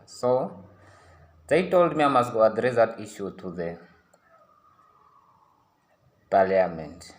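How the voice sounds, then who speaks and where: A man's voice: lowish voice, sing-song, measured pace. Earnest young man pleading. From Namibia. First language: a San language. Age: young adult. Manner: earnest, pleading, repeats himself and restarts. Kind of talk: impassioned public appeal.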